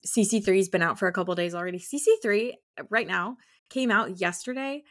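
A woman's voice: medium pitch, fairly quick. Casual, effusive woman chatting about books. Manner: informal and effusive, heavy use of "like". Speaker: podcast co-host; school teacher. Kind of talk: casual book chat.

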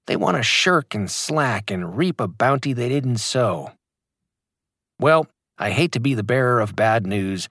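A man's voice: mid-range voice, no echo, clean studio sound. A man reading his own fitness audiobook aloud, emphatic and motivational in delivery. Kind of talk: scripted audiobook narration.

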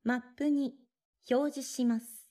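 A woman voicing a hearing aid's navigation system, making measured, formal announcements in an audio drama. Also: high voice, fairly even intonation, mellow. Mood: neutral, informative. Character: female navigation voice of a hearing aid device.

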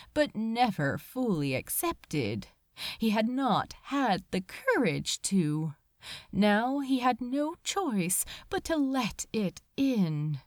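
Slow, expressive woman reading fiction. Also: medium pitch, no echo, studio quality. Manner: emotive, deliberate, dramatic pauses. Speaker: audiobook reader. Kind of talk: dramatic scripted fiction narration.

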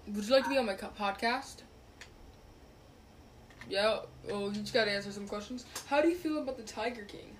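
A woman's voice: medium pitch, brisk, long pauses, slight background noise. A young woman hosting a casual online podcast, talking with strangers in a chatty, playful way full of fillers. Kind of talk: casual chat.

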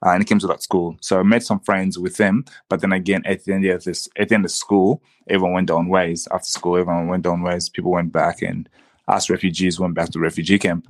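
A man from the Congo who grew up in refugee camps and Australia, a native Swahili speaker, telling his story reflectively and haltingly as an interview guest. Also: low voice, little pitch movement, dry recording. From the Congo, raised in Zimbabwean refugee camps and Australia. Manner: halting, with frequent repeats and "you know".

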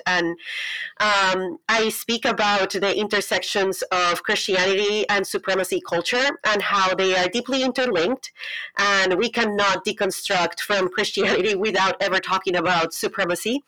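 A woman's voice: mid-range voice, pushed and bright, dry recording, poor audio. A woman from Colombia with a Colombian Spanish accent, speaking as a podcast guest in a frank, thoughtful way with wry humor. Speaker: guest, pastor. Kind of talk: podcast interview.

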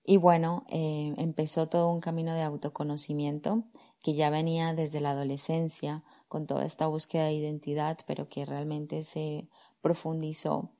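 A woman, a career coach calmly telling her own story on a podcast, soft fillers between thoughts. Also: deep voice, normal speed. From Colombia. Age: 30s. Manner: calm, reflective, with frequent soft fillers. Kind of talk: personal storytelling monologue.

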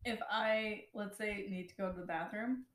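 A woman's voice: mid-range voice, steady tone, moderate pace. A woman co-hosting a podcast in casual chat, swearing often and laughing a lot. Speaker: podcast co-host. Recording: echoey room.

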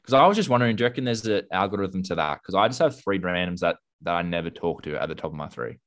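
A young man with an Australian accent and a low-pitched voice chats as a podcast co-host. He muses out loud in an informal, slangy way. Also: lively intonation, quick pace. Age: young adult. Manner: musing, slangy. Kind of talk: casual chat.

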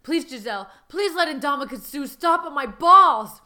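Woman putting on a pleading, tearful husband, bright. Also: high-pitched, expressive, moderate pace, voice pushed up, full character voice. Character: crying male football player coaxing his wife.

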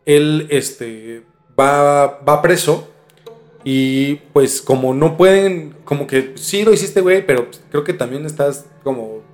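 A man with a Mexican accent telling a story casually as a podcast host, informal and halting as he searches for words. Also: on the high side, faint music behind.

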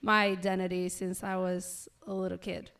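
A young woman from Argentina, a guest telling her story in a relaxed, earnest way with many ums. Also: on the low side, normal speed, creaky. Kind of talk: casual interview storytelling.

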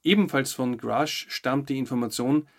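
A man's voice: medium pitch, moderate pace. A man hosting a news update, reading scripted narration with short commentary. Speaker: host of a weekly news update show.

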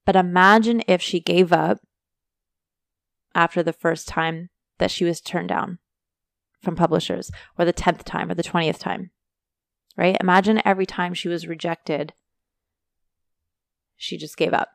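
A woman hosting a casual motivational podcast, talking chattily to her listeners while her voice is going hoarse. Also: on the low side, steady tone, a little slow, pauses often, no room sound, studio quality. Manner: chatty, motivational, addresses listeners as you guys. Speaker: podcast host. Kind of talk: casual solo podcast talk.

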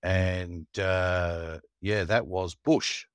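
A co-host, telling jokey stories in casual chat. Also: male voice, low-pitched, steady tone, drawn-out words, smooth, dry recording, clean studio sound.

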